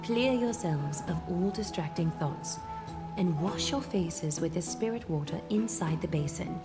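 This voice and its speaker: Solemn game priestess: low, breathy, deliberate, commanding, music behind. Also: female voice, expressive. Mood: solemn, instructive. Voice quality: breathy, at times whispering. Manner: slow, deliberate, formal and commanding. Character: serene, solemn priestess guiding a sacred water ritual. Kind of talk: video game voice acting. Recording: background music under game dialogue.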